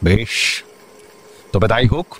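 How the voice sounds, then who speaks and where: An actor playing a vain, self-important government officer in a radio comedy, humming and repeating himself pompously. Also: male voice, lowish voice, animated, slightly rough, exaggerated voice. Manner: pompous, drawn-out hums and repeated agreement. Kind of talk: Bengali radio comedy drama acting.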